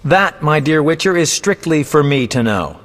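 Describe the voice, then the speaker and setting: A man's voice: on the high side, animated, normal speed, fairly bright tone, long reverb tail. A man playing a vain, roguish poet and bard in a video game, speaking in a theatrical, florid, self-important way. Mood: breezy, sly. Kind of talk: video game voice acting, storytelling.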